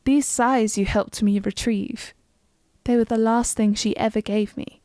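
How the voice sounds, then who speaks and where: A woman's voice: a little high, steady tone, measured pace, dark tone, no echo, studio quality, natural voice. A woman voicing a wistful fantasy companion in scripted game dialogue, recalling her past. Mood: wistful, measured.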